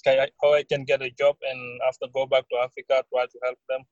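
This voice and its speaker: A young man with a Senegalese accent, a student guest talking casually with halting, non-native phrasing in an interview. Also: mid-range voice, little pitch movement, no room sound, muffled recording. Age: young adult. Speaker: student guest, recent graduate.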